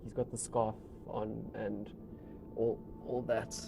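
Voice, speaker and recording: A man's voice: lowish voice, level tone, slow pace, gravelly. A man chatting casually as a player in a tabletop game, hesitant and self-deprecating. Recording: noisy room with background ambience.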